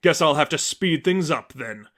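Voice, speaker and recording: A man's voice: fairly high pitch, expressive, moderate pace, fairly bright tone. Smug male fanatic villain, acted out by a man reading, cold, pompous, menacing, audiobook drama.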